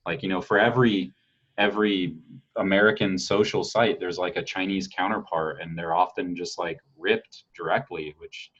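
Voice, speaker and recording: Deep husky man, rambling podcast chat. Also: fairly even intonation, normal speed, a bit bright. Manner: rambling, filler-heavy, frank with casual swearing. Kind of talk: casual podcast conversation. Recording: dull lo-fi sound.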